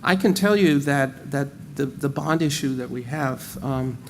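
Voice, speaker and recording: A man's voice: medium pitch, a little slow, darkish tone, a little hiss or hum. A man with an American accent speaking animatedly on a panel, repeating words as he thinks. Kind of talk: panel discussion.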